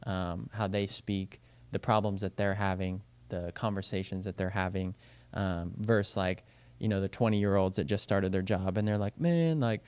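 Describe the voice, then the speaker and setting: A young man with a smooth, clear voice sitting a little low in pitch. He talks in loose, rambling podcast chat, leaning hard on "you know" and "like" as he works out his thoughts as a coach. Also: steady tone, normal speed, darkish tone. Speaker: baseball and softball coach, podcast co-host.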